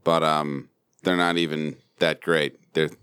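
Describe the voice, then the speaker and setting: A man's voice: extremely low pitch, level tone, measured pace, a bit bright, clean tone, dry recording, clean studio sound. A man telling anecdotes in casual banter with friends, laid-back and chuckling. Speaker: podcast co-host.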